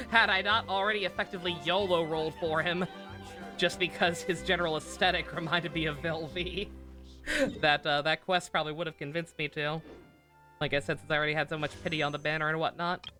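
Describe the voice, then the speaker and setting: A man's voice: fairly high pitch, fairly even intonation, normal speed, pushed and bright, soft background music. A man giving rambling, enthusiastic commentary as a casual game streamer.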